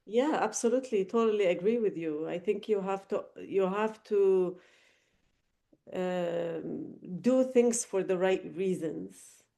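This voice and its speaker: A woman's voice: mid-range voice, takes it slowly, gravelly, warm tone. A middle-aged woman from Lebanon, a podcast guest giving thoughtful, earnest answers with frequent fillers and restarts. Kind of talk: reflective interview answers.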